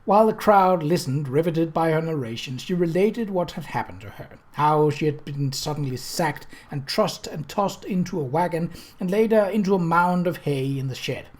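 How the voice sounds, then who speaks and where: A storyteller reading a novel as an audiobook, in a measured, literary narrative style. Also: mid-range voice, lively intonation, a little mellow. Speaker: audiobook reader.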